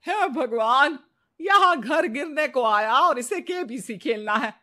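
A woman voicing an irritable, scolding middle-aged mother-in-law in an animated story, nagging with exasperated sarcasm. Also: sits high, slow, pitched above the performer's own voice, exaggerated voice.

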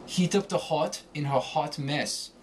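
A man giving a scripted lesson in a deliberate, theatrical way with emphatic enunciation. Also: a little high, slight background noise. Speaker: accent coach. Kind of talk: scripted accent lesson with example monologue.

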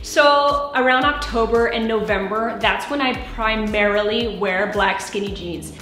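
Woman, 30s, higher voice, chatty and playful haul vlogger, roomy echo. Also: unhurried, faint music behind. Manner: chatty, playful and expressive, moving and posing while talking. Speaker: fashion vlogger. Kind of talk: try-on haul review. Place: bright room at home with clothing rack.

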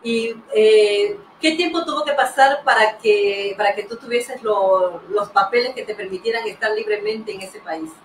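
A woman's voice: mid-range voice, normal speed, hardly any pauses. A middle-aged woman hosting a conversational interview over a video call. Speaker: interview host. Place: indoor room, video call. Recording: echoey, distant mic with noise.

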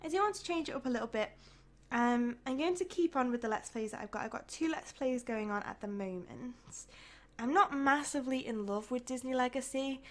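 Young woman from the UK, croaky, tired, rambling chatty voice-over, slightly high voice. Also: brisk. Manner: rambling, chatty, with soft laughs. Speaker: YouTuber. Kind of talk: casual voice-over chat over a game build.

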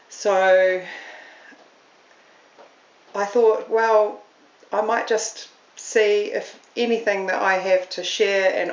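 A middle-aged woman with a New Zealand accent, hosting a podcast in a chatty, self-deprecating way and laughing often. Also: on the low side. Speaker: podcast host. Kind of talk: casual solo talk to camera. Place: room at home. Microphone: camera on a stand. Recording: roomy, slightly dull home recording.

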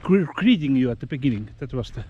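A man in his thirties giving halting, casual off-the-cuff commentary in short muttered remarks as he explores. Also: mid-range voice, very animated, muffled recording. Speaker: urban explorer vlogger. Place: abandoned factory grounds, outdoors and in derelict rooms. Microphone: handheld action camera at arm's length. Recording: noisy handheld action camera audio.

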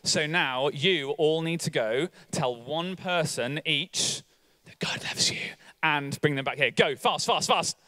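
Upbeat guy with a handheld mic in a church hall, bright punchy voice, a bit high, rounding up volunteers. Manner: energetic, coaxing volunteers, quick asides. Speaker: church leader. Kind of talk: lively all-age talk.

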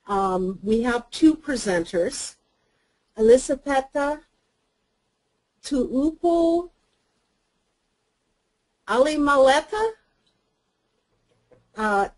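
A woman moderating a webinar, reading speaker introductions in a careful, measured way. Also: on the high side, a little mellow, rough recording.

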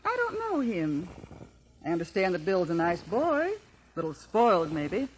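An actress playing a kindly, sympathetic woman speaking gently in a 1950s radio drama. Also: lowish voice, huge pitch swings, moderate pace. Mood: concerned. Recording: faintly noisy old radio recording.

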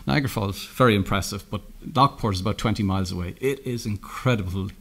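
A middle-aged Irish man giving a conference keynote, telling anecdotes with lots of halting restarts and self-corrections. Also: lowish voice. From Ireland. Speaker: keynote speaker. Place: conference room, indoors.

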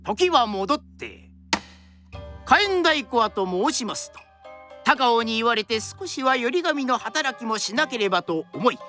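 A woman's voice: a little high, sing-song, unhurried, bright, forceful tone, soft background music. A woman narrating a scripted story in a formal, polite storyteller's manner.

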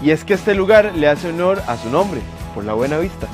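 A man's voice: a little high, expressive, brisk. A young man with a Costa Rican accent narrating a scripted television feature as a reporter. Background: background music.

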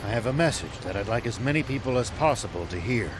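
An actor playing a weary, noble old former general in a video game, speaking in a measured, formal and gravely earnest way. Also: male voice, medium pitch, lively intonation, music in the background, natural voice. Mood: resolute, commanding. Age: older.

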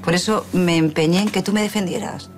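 An actress in her forties playing a spirited Spanish woman in prison who speaks in a quick, earnest, candid way. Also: fairly low pitch, fairly even intonation, natural voice. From Spain. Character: spirited Spanish woman jailed for a crime she denies. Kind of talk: television drama acting. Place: small office-like prison visiting room, indoors.

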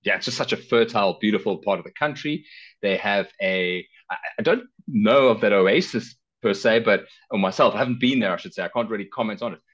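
A man's voice: on the low side, brisk, fairly bright tone. South African man, slightly dull call audio. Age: middle-aged. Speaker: travel guest, car rental company director. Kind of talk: casual interview talk. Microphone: headset on a video call.

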